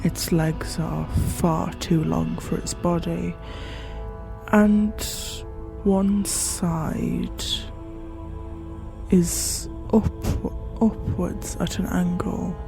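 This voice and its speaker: Horror podcast narrator: very slow, creaky mid-pitched voice, measured and theatrical, musing to the listener, music underneath. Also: steady tone. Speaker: narrator and host of an audio horror fiction show. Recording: music behind the voice. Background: background music.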